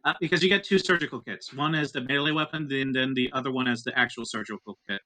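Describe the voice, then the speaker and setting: A man's voice: medium pitch, quick pace, bright, forceful tone, no echo, poor audio. A man playing a tabletop roleplaying game, explaining things casually. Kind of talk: casual game talk mixed with in-character dialogue.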